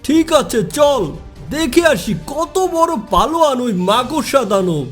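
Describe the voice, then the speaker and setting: A giant cartoon monster played by a man, boastful and easily offended. The voice is strikingly high for a man and swings widely as it blusters, with music behind. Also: very animated, takes it slowly, full character voice. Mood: blustering. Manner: blustering, suspicious challenges. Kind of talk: children's cartoon dialogue.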